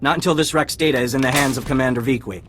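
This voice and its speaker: An actor playing an army lieutenant in a video game, giving urgent commands. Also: male voice, medium pitch, rapid-fire, fairly bright tone, a little hiss or hum, lightly acted. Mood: determined. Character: brisk male Republic army lieutenant. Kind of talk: game cutscene acting.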